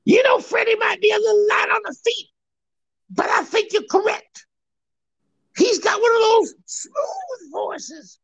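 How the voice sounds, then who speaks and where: A man putting on the voice of a brash, boastful hard-rock singer, with high shrieking wails and shouts. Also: lively intonation, a little slow, creaky, no echo, highs cut off, full character voice.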